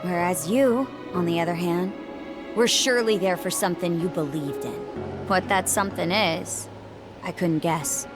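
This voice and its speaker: A woman's voice: on the low side, normal speed, lightly acted. An actress playing a proud, blunt, determined young warrior woman in a video game, speaking in a tough, earnest, defiant way. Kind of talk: dramatic video game voice acting. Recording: game music under the voice.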